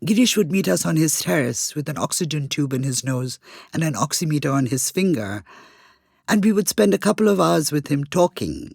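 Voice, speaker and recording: A woman co-hosting a podcast, telling things in a conversational, expressive way. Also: deep voice, normal speed, creaky, slightly rough, no room sound, clean studio sound. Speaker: podcast co-host.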